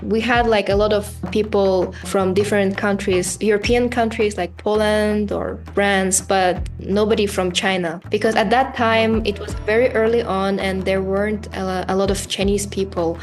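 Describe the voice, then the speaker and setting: A young woman from Kazakhstan, Russian her first language, talking as an interview guest in a relaxed way with fillers and easy laughs. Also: mid-range voice, moderate pace, hardly any pauses, faint music behind. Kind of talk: casual interview conversation.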